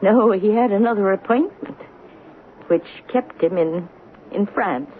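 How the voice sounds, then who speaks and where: A woman acting as an elderly romantic spinster with a low voice for a lady, precise and reflective. She is pious and gently probing, in vintage radio drama style, heard through a narrow, slightly noisy broadcast. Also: a little slow, natural voice. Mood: wistful. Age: older.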